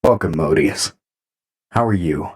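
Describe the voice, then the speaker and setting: An actor playing a calm, courteous robot commander in an audio drama, measured in manner. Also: male voice, deep voice, slightly rough. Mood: earnest, friendly.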